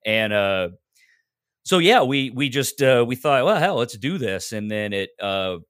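A man's voice: medium pitch, very animated, a little slow, fairly bright tone, clear, resonant tone, no room sound, studio quality. An American man chatting casually as a podcast guest, rambling a little with self-deprecating humor. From Texas, United States. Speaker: podcaster and audio drama maker, guest. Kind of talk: casual interview chat.

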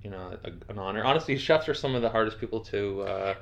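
Low-voiced rambling male host. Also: lively intonation, fast, gravelly, a bit bright. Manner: rambling, lots of fillers, chatty. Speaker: podcast host, interviewer. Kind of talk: casual rambling interview. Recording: dull lo-fi audio.

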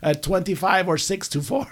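A man's voice: high voice, normal speed, husky. A man co-hosting a casual comedy podcast, joking and laughing often as he chats. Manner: jokey, laughs often, keeps addressing his co-host by name. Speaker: podcast co-host.

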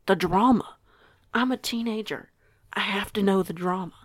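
A woman's voice: medium pitch, normal speed, dry recording, studio quality, natural voice. A woman playing a curious, stubborn teenage werewolf girl in an audio roleplay, with a chatty, rambling, self-correcting way of talking. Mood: nosy, eager. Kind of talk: audio roleplay acting, casual conversation.

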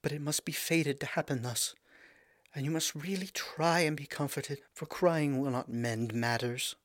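A man's voice: fairly high pitch, no room sound, studio quality, close to an everyday voice. A man doing a young regal princess. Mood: gentle. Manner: clear ringing tones, slow and stately.

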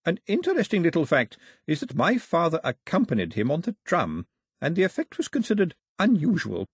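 A kindly old man telling stories to children, as a narrator puts him on, gentle and rambling, his voice lifted high and swooping all over. It sounds husky and dry, close to the mic. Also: no room sound, full character voice. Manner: gentle, chatty, fond.

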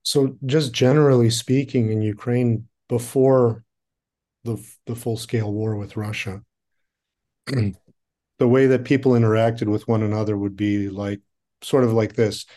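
A man hosting an interview, asking questions in a measured way and restating his guest's answers to make them clear. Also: mid-range voice, steady tone, mellow. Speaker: interviewer host. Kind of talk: conversational interview.

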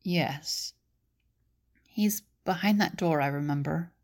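A woman playing a serious, earnest seer who has visions, in an audio drama, solemn and deliberate. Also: deep voice, a little mellow. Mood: entranced.

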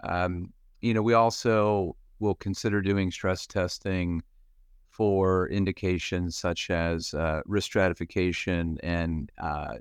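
A man in his fifties from Western Pennsylvania, a cardiologist explaining things in a measured way with many fillers and restarts. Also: sits low, steady tone, smooth, dry recording, clean studio sound. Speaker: cardiologist guest expert. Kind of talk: podcast medical discussion.

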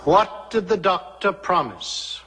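An actor playing an exacting older law professor in a film, lecturing formally and putting pointed questions in a reverberant hall. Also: male voice, sits high, very animated, slow pace, fairly bright tone, husky, full character voice. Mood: stern, measured.

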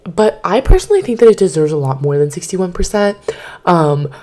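A woman hosting her podcast in casual chat, slightly hoarse from losing her voice, chatty and rambling with lots of fillers, recorded on her bed at home into a microphone. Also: lowish voice, lively intonation, fairly quick, mellow. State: slightly hoarse, partly lost voice. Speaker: podcast host.

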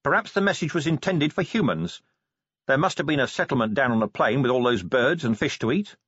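A man's voice: mid-range voice, animated, fairly quick, fairly bright tone, husky, dry recording, highs cut off, voice pushed up. A man voicing a shrewd city watch commander in an audiobook, wry and matter-of-fact. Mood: thoughtful.

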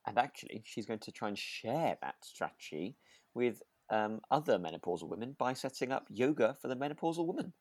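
A man's voice: medium pitch, big pitch swings, a little fast, darkish tone, no echo. A man co-hosting a podcast, casually recapping in a hesitant way as he searches for words. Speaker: podcast co-host. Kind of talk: casual chat recapping a radio drama.

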